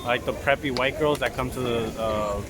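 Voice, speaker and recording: A man's voice: medium pitch, moderate pace. Young man from Mexico, rambling and casual, recorded outdoors with traffic noise. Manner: rambling, many "like" fillers. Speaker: interviewee. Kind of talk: casual street interview. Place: outdoors on a city street. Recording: street noise behind.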